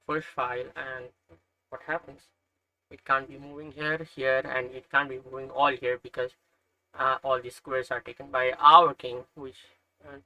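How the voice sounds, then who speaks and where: Young man, Nepali accent, bright edgy voice, hesitant thinking aloud, dry close sound. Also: mid-range voice, no room sound, rough recording. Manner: thinking aloud, hesitant with frequent uhs and self-corrections. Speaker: chess learner narrating puzzles. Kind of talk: casual screen-recorded commentary.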